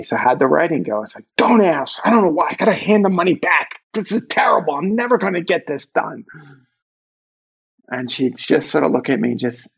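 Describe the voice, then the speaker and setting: A man from Brooklyn with a Brooklyn accent, talking candidly and reflectively as a guest author in an interview, pausing for emphasis. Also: a little high, vocal fry, breathy edge. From Brooklyn, New York.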